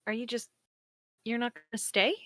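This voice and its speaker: A woman's voice: fairly high pitch, level tone, normal speed, fairly bright tone, no room sound, lightly acted. A woman playing a naive, shy, newly awakened space probe, earnest and hesitant, in a sci-fi table read. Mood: shy, uncertain. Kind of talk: table read of a science fiction story.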